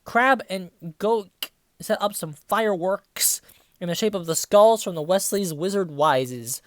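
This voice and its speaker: Sarcastic young reader doing dramatic read-aloud, swears in the asides, medium pitch, dry sound. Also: unhurried, no room sound. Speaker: reviewer reading fan fiction aloud. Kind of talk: dramatic read-aloud with mocking casual commentary.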